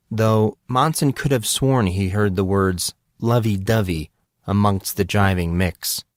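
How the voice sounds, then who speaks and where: A man narrates a piece of fiction as an audiobook. His voice sits on the low side. The sound is dry, with little room in it. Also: no room sound.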